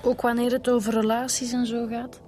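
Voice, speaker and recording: A woman's voice: a little high, little pitch movement, a little fast, mellow, faint music behind, natural voice. A woman with a Flemish accent playing a polite, prodding police detective in a radio drama. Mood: polite, curious. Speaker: actor in a radio detective serial.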